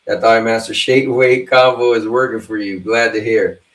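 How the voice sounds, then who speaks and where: A man's voice: lowish voice, flat delivery, normal speed, a bit bright, distant mic. A man of fifty hosting a livestream, chatting loosely and rambling as he talks straight to his viewers. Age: 50s. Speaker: livestream host. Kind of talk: casual chat with a livestream audience. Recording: roomy sound, away from the mic.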